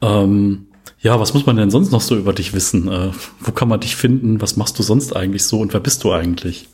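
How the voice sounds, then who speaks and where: A man's voice: fairly low pitch, brisk, a little mellow, slightly rough. A young man hosting a podcast, chatting loosely with lots of fillers and rambling questions. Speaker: podcast host. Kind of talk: casual interview chat.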